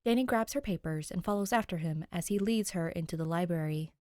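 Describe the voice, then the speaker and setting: A woman reading fiction aloud as an expressive podfic narrator. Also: lowish voice, fairly quick, no echo, studio quality. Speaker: podfic reader. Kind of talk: expressive scripted story narration.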